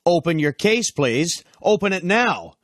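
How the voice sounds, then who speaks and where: A man with a husky voice playing airport parts in a scripted lesson dialogue. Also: high voice, big pitch swings, measured pace, a bit bright, dry recording, clean studio sound, heavily acted. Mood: businesslike. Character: brisk official or traveller at an airport.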